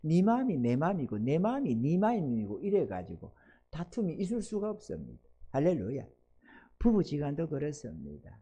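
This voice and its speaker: Older male pastor, lively emphatic preaching. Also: a little high, normal speed, dull audio. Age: 60s. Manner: swings from loud emphatic exhortation to soft quiet asides; asks the congregation for amens. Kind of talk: sermon.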